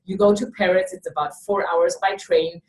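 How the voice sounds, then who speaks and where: Swiss woman, low-pitched, roomy and distant. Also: steady tone, moderate pace, a little mellow. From Switzerland. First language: not English. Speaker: study abroad program host. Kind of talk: promotional interview.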